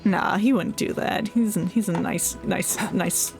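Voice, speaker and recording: A woman's voice: mid-range voice, unhurried, vocal fry, a little mellow. A woman streamer giving casual, playful live commentary on a video game. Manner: informal, playful reactions. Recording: game music behind.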